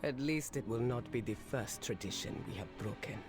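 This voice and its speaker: A man plays a reverent fantasy game elder. He reasons calmly in a measured, mid-pitched voice while music plays underneath. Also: natural voice. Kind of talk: fantasy game character acting.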